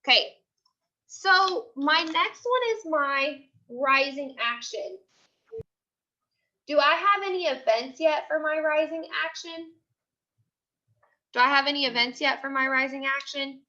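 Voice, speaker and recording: Bright, high-voiced woman teacher. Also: highs cut off. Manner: prompting, repeats questions and answers slowly for pupils. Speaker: teacher leading a class read-aloud. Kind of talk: interactive classroom lesson, question and answer.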